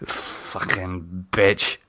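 A man voicing a bitter, sardonic, self-loathing drunk in an audiobook, halting and sneering as he talks. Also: deep voice, flat delivery, sharp tone, no room sound, pitched below the performer's own voice, exaggerated voice. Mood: bitter, contemptuous. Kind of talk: audiobook character dialogue.

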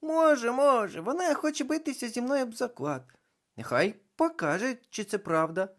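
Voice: medium pitch, animated, normal speed, voice pushed up, close to an everyday voice. The reader voicing a male carnival clown who doubts the witch. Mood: doubting.

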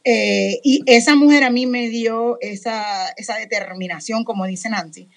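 A chatty, lively woman with a Puerto Rican accent, speaking a bit high, leading a women's panel over a video call. She is middle-aged and talks a lot, teasing herself as she goes, into a studio microphone with headphones on. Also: measured pace. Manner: talkative, animated, self-deprecating. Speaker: radio program director and moderator. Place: home room with headphones and studio mic.

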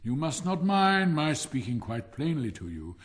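A man's voice: fairly high pitch, big pitch swings, pitched above the performer's own voice. In a reverberant hall, a man performs a pompous storybook miller. He is hearty and bossy, a self-satisfied false friend who leans on his words and draws them out as he preaches. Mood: pompous scolding. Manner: emphatic, preachy, drawn-out delivery. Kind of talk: storybook character voice. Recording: long reverberant hall sound.

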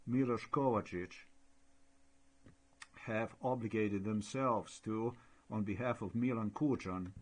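Slovenian-accented man, halting commentary. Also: on the low side. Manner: halting, repetitive non-native English. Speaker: blogger. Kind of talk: screen-share commentary.

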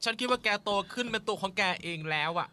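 A man's voice: sits high, fast, bright, forceful tone. A man voicing a sarcastic, impatient father bird in a cartoon dub. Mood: teasing. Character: grumbling bossy father bird.

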